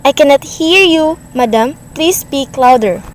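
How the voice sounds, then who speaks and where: A woman's voice: high voice, lively intonation, a little slow. A woman playing a polite, formal, unhelpful switchboard clerk in a radio play, courteous and detached. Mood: brisk, impersonal. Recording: amateur recording with faint background noise.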